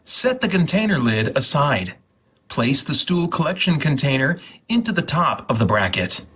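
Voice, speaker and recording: A man reading an instruction guide aloud in a mock-serious, theatrical, deliberate way, with a husky voice. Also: fairly high pitch, big pitch swings, a bit bright. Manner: theatrical, deliberate, repeats words for emphasis.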